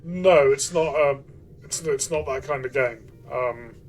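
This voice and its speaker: A man's voice: mid-range voice, a little slow, faint music behind. Distant-sounding man chatting about games. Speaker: co-host of a game review show. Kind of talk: casual discussion, tabletop game review.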